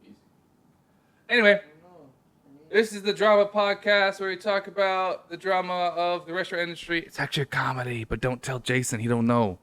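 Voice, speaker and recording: A man's voice: high-pitched, fairly even intonation, a little fast, fairly bright tone. A man co-hosting a casual comedy podcast, who jokes and explains things at length. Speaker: podcast co-host. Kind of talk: casual chat. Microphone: headphones with a remote call setup.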